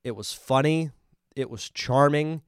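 A man's voice: medium pitch, unhurried, smooth, dry recording, studio quality. A man with a congested voice hosting a live podcast, chatting casually and enthusiastically as he reviews a film. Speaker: podcast host.

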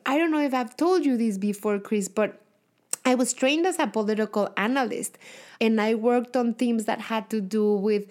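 Mexican-accented woman, higher voice. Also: darkish tone. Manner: enthusiastic, expansive explainer. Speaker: guest, cookbook author and TV cook. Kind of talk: radio interview.

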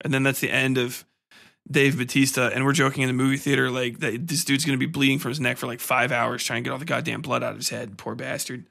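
A man's voice: mid-range voice, flat delivery, quick pace, gravelly, bright, forceful tone. A man hosting a podcast in a casual, rambling film-review rant, profane, exasperated and mocking.

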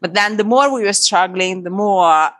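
A middle-aged woman from Italy with an Italian accent, speaking as a podcast guest in a halting way, with many fillers and restarts. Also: medium pitch, a bit bright. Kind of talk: conversational interview.

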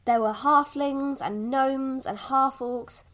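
A woman playing a patient village mother in an audio drama, softly coaxing and a little evasive about the past. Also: high-pitched, steady tone, slow pace. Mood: gentle, explaining.

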